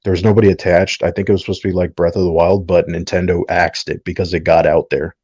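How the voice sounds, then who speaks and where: A low-pitched man lays out his opinions as a podcast panelist in an explanatory, conversational way. The sound is close and dry, slightly dulled. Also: flat delivery, fast talker, a little mellow, slightly rough, dry recording, muffled recording. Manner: explanatory, checks in with "right?". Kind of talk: casual opinionated discussion.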